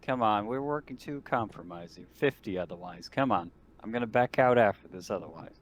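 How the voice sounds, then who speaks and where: A man's voice: fairly low pitch, expressive, fairly quick, natural voice. A man playing an argumentative, sweary space officer haggling as a customer in an improvised tabletop roleplaying game. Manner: grumbling, profane, argumentative.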